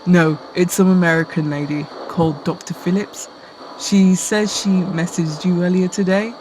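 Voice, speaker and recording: A woman's voice: lowish voice, steady tone, moderate pace, background noise, close to an everyday voice. A woman playing a bossy, sarcastic, exasperated supervisor in Santa's workshop in a radio play. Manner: scolding, exasperated.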